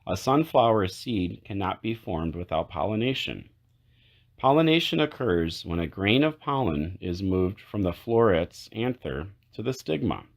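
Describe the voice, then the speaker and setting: A man narrating a children's picture book aloud, phrase by phrase in a measured way with clear pauses. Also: deep voice, expressive. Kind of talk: read-aloud storybook narration.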